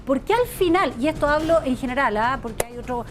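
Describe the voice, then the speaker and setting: A woman's voice: sits high, animated, fairly quick. A woman with a Chilean accent moderating a TV panel, pressing guests with concrete questions and cutting in. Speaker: TV show moderator.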